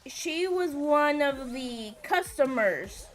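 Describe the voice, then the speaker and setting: A woman host chatting casually on a game stream, her higher voice unhurried and rambling, padded with filler words. Faint music plays underneath. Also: lively intonation. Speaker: livestream host. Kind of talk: casual chat while gaming.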